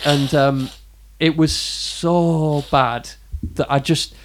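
A man's voice: on the high side, fairly even intonation, extremely slow. A British man co-hosting a casual podcast about films, with a wry, hesitant way of putting things. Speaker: podcast co-host. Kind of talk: casual film podcast chat.

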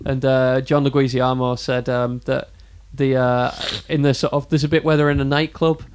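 A man's voice: medium pitch, little pitch movement, moderate pace, noisy background. A man co-hosting a podcast, talking casually and wryly about films. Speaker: podcast co-host. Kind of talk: casual film discussion.

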